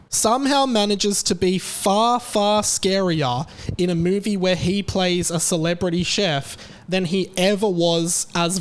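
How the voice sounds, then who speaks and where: A young Australian man hosting a podcast, giving his opinions in an emphatic, casual monologue. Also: sits high. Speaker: podcast host.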